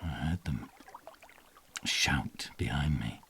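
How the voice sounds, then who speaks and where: An actor playing a guilt-ridden, grieving fisherman disciple in hiding, in a halting dramatic monologue that moves between whispers and shouts. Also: very deep voice, gravelly, a little hiss or hum, heavily acted. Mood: guilty, anguished.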